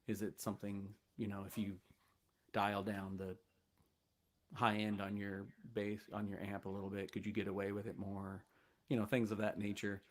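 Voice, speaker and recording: A man from the United States sits in as a guest and tells stories in a loose, easygoing way. His voice sits fairly low. He meanders through each anecdote with plenty of fillers. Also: monotone, moderate pace. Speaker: guest bass player. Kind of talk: casual storytelling chat.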